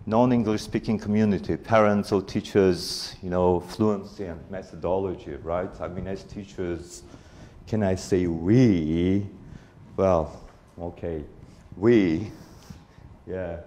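Deep-voiced man giving a conference talk. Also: fairly even intonation, takes it slowly, mellow. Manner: hesitant, many fillers and restarts. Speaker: conference speaker. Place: conference hall with audience.

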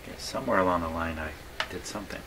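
Low voiced guy tinkering and mumbling thoughts out loud, wry and casual, noisy workshop background. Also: racing. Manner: musing, wry, self-deprecating. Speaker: radio repair hobbyist, host. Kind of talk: casual workshop narration, thinking aloud. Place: indoor electronics repair workshop. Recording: clear background noise in workshop.

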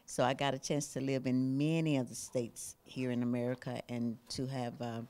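Low-voiced woman in her eighties. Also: flat delivery, moderate pace, mellow. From Alabama. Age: 80s. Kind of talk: informal self-introduction. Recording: room noise, handheld microphone.

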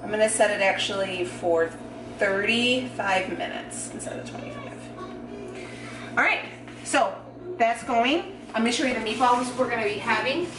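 A woman's voice: fairly high pitch, wildly swooping pitch, normal speed. A woman, chatting casually with filler words and self-joking while she cooks in her home kitchen, picked up by a camera mic as she moves around. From United States. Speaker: vlogger. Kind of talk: casual cook-along vlog. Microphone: camera mic, moving about the room. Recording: echoey kitchen with noise.

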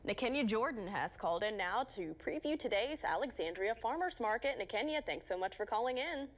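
A woman's voice: a little high, lively intonation, fairly quick, a little hiss or hum. Young woman anchoring the news. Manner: friendly, with light laughs. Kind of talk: studio interview hosting. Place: TV news studio.

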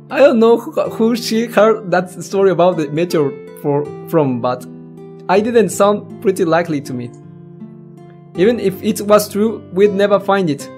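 Lively let's-player with music behind. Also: mid-range voice, unhurried, pauses often. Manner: giggly, interjects own reactions. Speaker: let's-play host. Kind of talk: reading game text aloud with casual commentary. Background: game music with plucked guitar.

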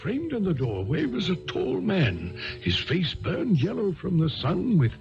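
An actor playing a loyal, eager doctor companion who narrates a 1970s radio drama in an earnest, attentive way. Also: male voice, high voice, wildly swooping pitch, a little slow, creaky, highs cut off, over music, heavily acted.

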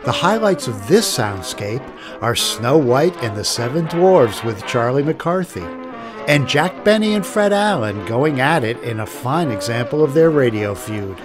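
A man's voice: medium pitch, sing-song, a little slow. A man reading scripted narration as a podcast host. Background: music.

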